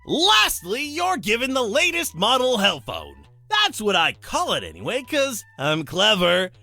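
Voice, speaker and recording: A flamboyant demon guide for a visual novel game, cocky and bawdy. The voice swoops theatrically and has a bright, cutting edge, with music playing underneath. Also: mid-range voice, huge pitch swings, measured pace, creaky, no echo, exaggerated voice. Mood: smug, playful. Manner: showy, self-satisfied, swooping delivery.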